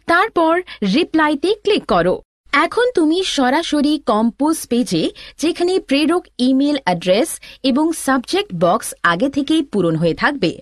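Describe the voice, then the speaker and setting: A woman's voice: high voice, no room sound, clean studio sound. A woman giving scripted instructional narration, explaining things step by step as a tutorial instructor.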